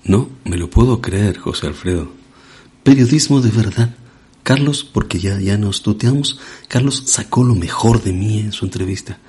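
A man with a low, husky, mellow voice who plays the straight man in a comedy sketch. He talks in a dry, patient deadpan. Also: normal speed. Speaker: sketch host.

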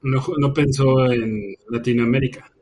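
Guy co-hosting, teasing and arguing back, mid pitch. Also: fairly even intonation, a little slow, a little mellow, a little hiss or hum. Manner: teasing, argumentative banter. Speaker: podcast co-host. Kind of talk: casual chat.

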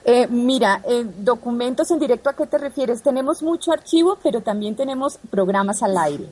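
A woman's voice: on the high side, lively intonation, a little fast, a little mellow, breathy edge. A Colombian woman answering interview questions in a didactic, explanatory way, laying out her points one by one. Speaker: radio archive representative being interviewed.

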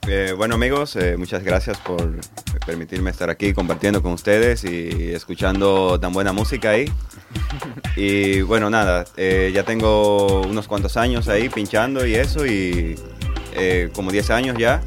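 A man's voice: low voice, normal speed. An easygoing, appreciative man with a Dominican Spanish accent, answering casually as a DJ being interviewed. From Dominican Republic (Santo Domingo). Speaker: interview guest, DJ and music producer. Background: music playing behind the talk.

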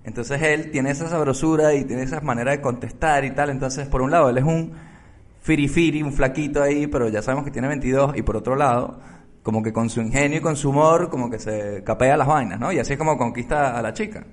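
A man's voice: medium pitch, fairly quick. A man with a mid-range voice hosts a casual movie podcast. He jokes, addresses the audience directly and muses aloud with easy slang. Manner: joking, show-host asides to listeners. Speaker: podcast co-host. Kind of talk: casual film chat.

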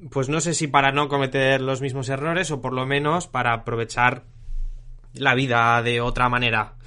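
A Spanish man in his late twenties with a bright, clear tone. He talks in a relaxed, thoughtful way as co-host of a casual podcast and now and then restarts a word mid-sentence. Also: mid-range voice, normal speed. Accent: Spain. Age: 20s. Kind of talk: casual chat. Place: attic room at his parents' house.

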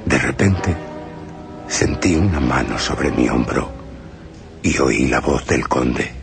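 Very deep, creaky-voiced uneasy male houseguest. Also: animated, slow, exaggerated voice. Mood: uneasy, suspenseful. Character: uneasy male houseguest recounting a night with a vampire count. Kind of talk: dramatised first-person gothic radio narration. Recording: radio drama with prominent music.

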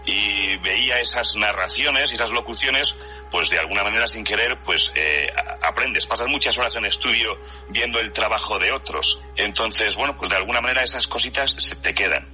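A man's voice: fairly low pitch, normal speed, sharp tone, faint music behind, poor audio. A man in his forties with a Spanish accent from Spain, talking reflectively and explanatorily as an interview guest. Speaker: interview guest, horror story channel narrator.

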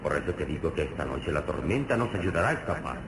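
A very low-voiced hero of an old radio adventure serial, solemn and all-knowing, explaining things deliberately with sweeping intonation. The recording is old and noisy. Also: male voice, big pitch swings. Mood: solemn, confident. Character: calm, all-knowing heroic male adventurer, mentor to a boy. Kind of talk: vintage Mexican radio serial acting.

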